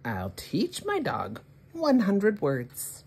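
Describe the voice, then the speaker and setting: A woman hosting story time, reading a children's picture book aloud in an expressive, deliberate way for young children. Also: mid-range voice, vocal fry, husky.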